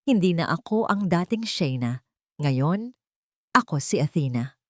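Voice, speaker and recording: A Filipino woman narrates a dramatic first-person story in an audiobook style. Her voice sits on the low side, and the sound is very dry, with no room around it. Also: lively intonation, measured pace, dry recording.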